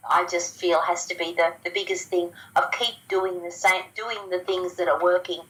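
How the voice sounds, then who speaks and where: Australian woman guest, low-pitched and chatty, restarts her sentences often. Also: steady tone, normal speed, fairly bright tone, rough recording. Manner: chatty, with frequent ums and restarts. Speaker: guest sharing business results. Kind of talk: casual phone interview.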